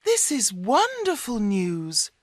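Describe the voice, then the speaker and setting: A narrator plays an eager fairy-tale wife, a woman full of excitement. Her voice lifts high and swings widely, still slowly paced. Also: dry recording, clean studio sound, heavily acted. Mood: delighted. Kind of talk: storybook character voice.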